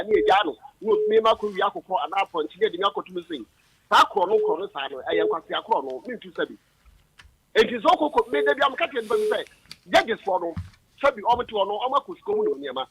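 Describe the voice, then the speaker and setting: A man's voice: high-pitched, gravelly, fairly bright tone, husky, no room sound, rough recording. A man with a Ghanaian accent calling in to a radio show with a long, rambling explanation. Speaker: caller. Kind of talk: radio phone-in call.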